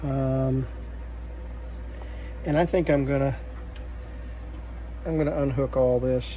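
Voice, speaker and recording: A man giving unscripted hands-on repair commentary, halting and thinking aloud as he works. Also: mid-range voice, steady tone, warm tone. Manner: halting, muttering thoughts aloud while working. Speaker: vintage electronics repair video host. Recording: faint background noise.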